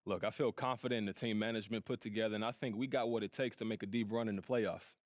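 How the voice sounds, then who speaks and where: A man's voice: lowish voice, fairly even intonation, fast talker, no echo, clean studio sound, natural voice. A young man playing an earnest, modest rookie basketball player answering questions in a scripted video game interview. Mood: earnest, confident. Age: young adult. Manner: casual, earnest, team-minded.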